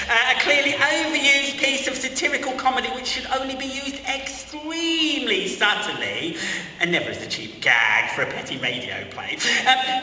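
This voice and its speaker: Young man playing a flamboyant, high-pitched flustered teacher in echoey hall. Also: heavily acted. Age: young adult. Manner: excitable, gushing, stammering. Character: flustered, theatrical, giggly male magic-school teacher. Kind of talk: comedic radio play acting. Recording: echoey hall from far off, faint noise.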